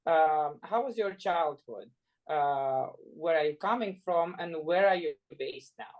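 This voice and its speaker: Slow, low-voiced woman host. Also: muffled recording. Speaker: podcast co-host. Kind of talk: scripted welcome and questions.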